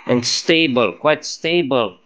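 Slow, halting man demonstrating electronics. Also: mid-range voice, expressive, a bit bright, a little hiss or hum. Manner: halting, searching for words. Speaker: electronics hobbyist presenter. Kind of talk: casual improvised demonstration of a homemade radio. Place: indoors at a hobby workbench. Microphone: phone held over the workbench.

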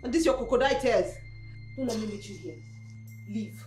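A woman's voice: fairly high pitch, a little fast, a little mellow, lightly acted. A woman playing a bossy wealthy madam who accuses and fires her cook. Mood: accusing. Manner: cold, accusing, commanding. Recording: echoey room with clear music.

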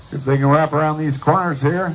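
A man with a fairly high voice announces a live race. He gives running positions and times as commentary. The recording is made outdoors at a track, with some noise behind him. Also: fairly even intonation, fast talker, low-quality recording.

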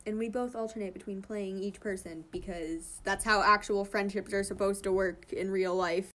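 A woman's voice: medium pitch, steady tone, moderate pace, pushed and bright. A woman chatting casually as she reacts to fan comics, rambling and gushing with a giggly manner. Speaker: host reading fan comic dubs and commenting.

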